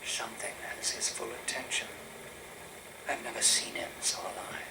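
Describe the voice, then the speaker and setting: A man playing an earnest, awed researcher in a game audio log, with a hushed, breathy, measured delivery. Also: medium pitch, very noisy, close to an everyday voice. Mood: quiet awe. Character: earnest, awed researcher logging alien ruins.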